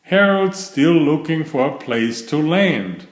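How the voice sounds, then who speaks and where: A man's voice: fairly high pitch, lively intonation, takes it slowly, voice pushed up. A man voicing a hard-working, hopeful male railway fireman in a storybook, exclaiming with effort. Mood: elated. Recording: roomy, echoing voice recording.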